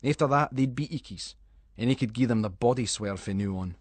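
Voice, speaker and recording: A Scottish man narrating a ghost story in Scots dialect, with an expressive, suspenseful storytelling delivery. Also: medium pitch, fairly quick, slight background noise. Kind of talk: dramatic scripted narration of a ghost story for schools radio.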